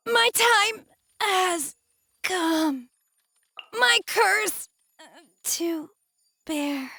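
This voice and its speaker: A woman voicing an aloof, earnest young female mystic in a video game, speaking in a measured, restrained and formal way. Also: very high-pitched, sharp tone, full character voice. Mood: fierce, combative. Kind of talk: video game character voice acting.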